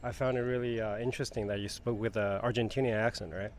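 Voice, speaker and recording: A man's voice: fairly low pitch, steady tone, a little fast, darkish tone, a little hiss or hum. A man interviewing a guest in a casual conversation, asking friendly, probing questions with occasional hesitant fillers.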